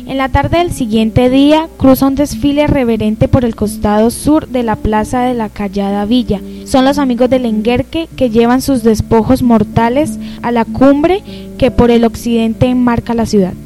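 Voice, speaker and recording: Radio play townswoman , female actor: curious, careful recited delivery, fairly high voice over music. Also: moderate pace, natural voice. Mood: earnest reading. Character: curious young townswoman reading history aloud to companions. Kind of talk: amateur radio drama, reading aloud. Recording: music behind the voice.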